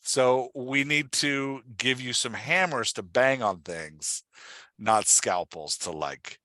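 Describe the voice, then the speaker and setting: A man's voice: mid-range voice, slow pace, pushed and bright, no echo. A man hosting and coaching in a conversational way, explaining things emphatically and asking leading questions. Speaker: podcast host and writing coach.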